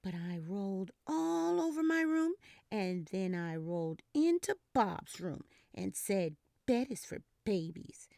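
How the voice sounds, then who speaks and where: Expressive woman reading a kids' book. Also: mid-range voice, takes it slowly, no room sound, studio quality. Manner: expressive, animated, breathy whispered narration. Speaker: children's storytime host. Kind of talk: reading a picture book aloud to kids.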